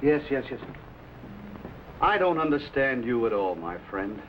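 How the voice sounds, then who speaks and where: An actor playing an anxious, earnest medieval explorer with theatrical, old-fashioned phrasing in a vintage adventure serial. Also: male voice, mid-range voice, sing-song, a little slow. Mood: grateful, puzzled. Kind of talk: 1950s adventure serial acting. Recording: noisy muffled vintage serial soundtrack.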